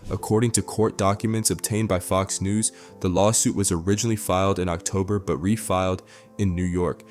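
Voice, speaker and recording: A man reading a scripted celebrity news narration in a measured, dramatic way. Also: sits low, darkish tone. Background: background music.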